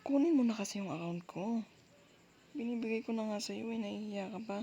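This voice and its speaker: A woman with a Filipino accent doing intimate girlfriend roleplay ASMR, speaking softly and closely in a soothing, coaxing, reassuring way. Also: medium pitch, lively intonation, fairly quick, lo-fi sound. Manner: soothing, coaxing, with frequent gentle check-ins. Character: soft dominant, reassuring, apologetic girlfriend comforting her partner. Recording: faint background noise.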